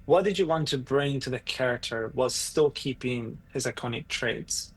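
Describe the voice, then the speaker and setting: A man's voice: mid-range voice, normal speed. A man asking a straightforward, polite question as a journalist. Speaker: entertainment journalist. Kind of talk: press junket question.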